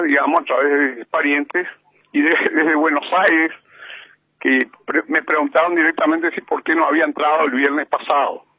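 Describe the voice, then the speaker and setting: Gravelly Paraguayan man on a phone line. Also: a little high, no echo. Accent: Paraguayan Spanish. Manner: slow and measured, with many hesitations. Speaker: radio commentator calling in. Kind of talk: slow deliberate radio commentary. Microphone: telephone.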